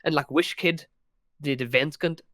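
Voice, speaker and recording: Young south african guy on a podcast, rambly, lotsa restarts, slightly higher voice. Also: moderate pace, fairly bright tone, no echo, clean studio sound. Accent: South African English. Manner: chatty, restarts and laughs often. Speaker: podcast guest. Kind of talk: casual podcast chat.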